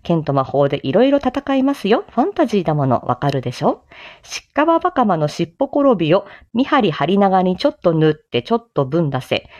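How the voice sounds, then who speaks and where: A woman reciting a theatrical Japanese-style sales-pitch monologue in a declamatory, sing-song way with playful touches. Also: very animated, fast, darkish tone. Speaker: amateur voice drama performer, streamer. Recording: slightly dull lo-fi microphone sound.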